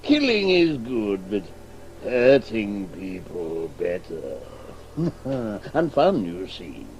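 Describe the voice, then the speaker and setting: A man's voice: fairly low pitch, huge pitch swings, very slow, darkish tone, a little hiss or hum, full character voice. An actor playing a gleefully cruel male tormentor in a radio play, relishing every word. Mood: gloating, sadistic. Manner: gloating, relishing each word.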